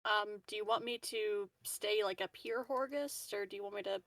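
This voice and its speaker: A woman's voice: medium pitch, moderate pace, gravelly, a bit bright, no echo. A woman playing a tabletop game and chatting casually, apologetic and self-deprecating. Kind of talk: casual chat.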